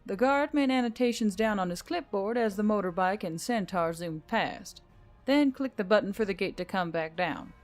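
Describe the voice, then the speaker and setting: A woman's voice: medium pitch, lively intonation, normal speed, soft background music. A woman reading scripted fiction as a story narrator.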